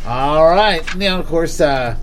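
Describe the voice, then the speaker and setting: A man's voice: fairly high pitch, animated, measured pace, slight background noise. A man hosting a casual podcast chat, joking with his co-hosts as he introduces the show. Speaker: podcast host.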